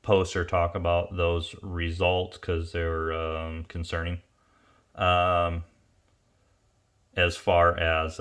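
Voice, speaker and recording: A man giving an informal, unscripted technical review as a tuner and presenter, speaking haltingly and pausing mid-phrase to think. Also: deep voice, a bit bright. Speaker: ATV engine tuner and presenter.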